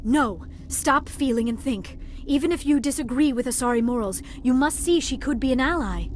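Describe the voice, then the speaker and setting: Game companion: compassionate alien female, voiced by an actress, highish voice, urgent and articulate, faint background noise. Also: moderate pace, natural voice. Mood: urgent pleading. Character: female alien scientist, earnest, compassionate, insistent. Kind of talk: video game character acting.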